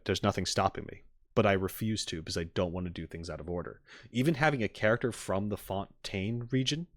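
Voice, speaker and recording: A man's voice: fairly low pitch, dry recording, studio quality. A man streaming casually to viewers, rambling and thinking aloud as he gives tentative opinions. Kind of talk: casual live-stream chat, reacting and giving opinions.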